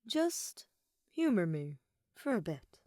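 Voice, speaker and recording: A woman voicing a calm, guarded teenage boy accomplice in a story reading, speaking in a measured, plain way. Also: mid-range voice, no room sound, studio quality. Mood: soft, intimate.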